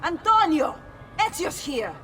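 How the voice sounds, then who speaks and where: A woman's voice: very high voice, very animated, a little slow, sharp tone, a little hiss or hum, heavily acted. An actress playing a playful, flirtatious woman among thieves in a video game, teasing. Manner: teasing, familiar. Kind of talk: dramatic video game voice acting.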